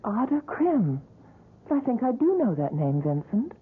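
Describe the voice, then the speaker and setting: An actress playing a gentle, patient woman worried about her companion in an old-time radio drama, coaxing and earnest in manner. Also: a little high, sing-song, a little slow, slightly rough, phone-quality sound, full character voice. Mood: concerned, curious. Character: gentle, concerned, patient female companion of painter. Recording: band-limited old radio broadcast with music.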